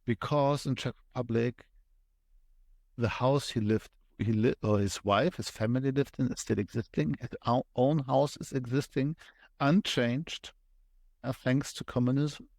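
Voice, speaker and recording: Slow-spoken man with a German accent. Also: mid-range voice, steady tone, darkish tone, dry recording. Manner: thoughtful, explains step by step with frequent pauses. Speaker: podcast guest. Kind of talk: conversational interview explanation.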